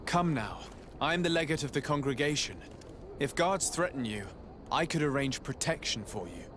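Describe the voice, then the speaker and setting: An actor playing a composed, insistent noble legate in a video game, speaking formally and persuasively. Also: male voice, on the high side, expressive, moderate pace, background noise, natural voice. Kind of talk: game cutscene acting.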